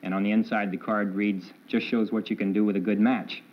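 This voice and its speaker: A man's voice: on the low side, level tone, fairly quick. A polite, plain-spoken man, a game show contestant answering the host's questions. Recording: dull old television broadcast audio.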